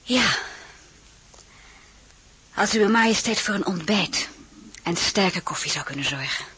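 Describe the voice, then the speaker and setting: A woman's voice: mid-range voice, animated, measured pace, pushed and bright, slightly rough, heavily acted. A woman playing a caring, plain-spoken princess at court in an audio drama, candid yet formally courteous. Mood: wry, reserved. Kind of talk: Dutch audio drama acting.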